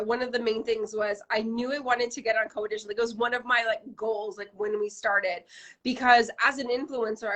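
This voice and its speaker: A woman's voice: fairly high pitch, a bit bright. A woman from Canada in her thirties, a brand founder chatting in an interview over a video call, bubbly and full of "like" fillers. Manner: bubbly, gushing, many "like" fillers. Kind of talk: casual interview chat.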